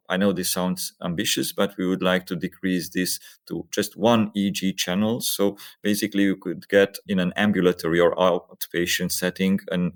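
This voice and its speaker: A man with a low-pitched voice speaks as a medical researcher in an interview. He explains technical work step by step, in a methodical, orderly way.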